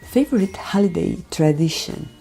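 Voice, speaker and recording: A woman from Romania with a Romanian accent, speaking a gentle, careful voiceover for her makeup tutorial, often dropping near a whisper. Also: fairly low pitch, animated, moderate pace, vocal fry, breathy edge. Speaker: beauty vlogger. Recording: voiceover with background music.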